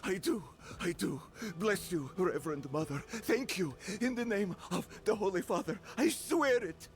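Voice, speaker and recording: A frightened mercenary captive in a fantasy game. His voice is high, and he pleads submissively in a hurried way. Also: expressive. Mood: desperate pleading. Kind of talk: cinematic fantasy game acting.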